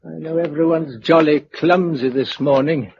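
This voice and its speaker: A man's voice: fairly high pitch, steady tone, normal speed, darkish tone, close to an everyday voice. An actor playing a proud, testy older blind man with an English accent and a brusque, indignant manner in a radio drama. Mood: bitter, brisk. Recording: dull, noisy old radio recording.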